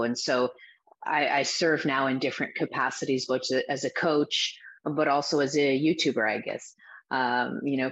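A middle-aged woman from the Azores talking as an interview guest, reflective and halting with many restarts. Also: extremely low pitch, fairly bright tone. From Azores, Portugal. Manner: reflective, with frequent restarts and fillers. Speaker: guest, spiritual teacher and coach. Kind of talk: interview answers. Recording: dull lo-fi sound.

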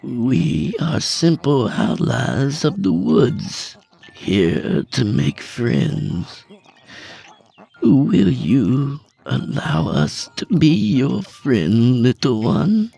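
A man voicing a nervous, gentle man who coaxes a small creature in a slow, strained, higher voice. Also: wildly swooping pitch, gravelly, breathy edge, exaggerated voice. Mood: coaxing. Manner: soft, slow, coaxing, high strained voice.

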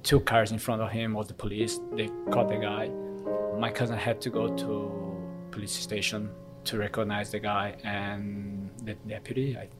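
A man's voice: lowish voice, completely flat delivery, normal speed, over loud music. A man in his late thirties from Brazil whose first language is Korean, frankly telling his own story in a casual interview.

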